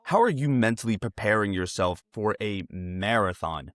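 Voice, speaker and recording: Lively male interviewer, swinging intonation. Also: lowish voice, normal speed, no room sound, clean studio sound. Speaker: sports show interviewer. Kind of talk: casual interview chat.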